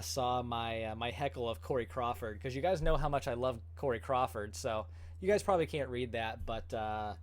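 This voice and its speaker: Chatty upbeat man on a livestream. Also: mid-range voice. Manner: chatty, filler-heavy, upbeat. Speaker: goalie channel host. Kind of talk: casual livestream chat.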